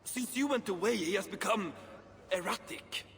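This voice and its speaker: An actor playing a worried, cautious dwarf who counsels patience in a video game, with earnest, measured delivery. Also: male voice, sits high, a bit bright. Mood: troubled. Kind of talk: dramatic video game acting. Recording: long cavernous reverb, faint noise.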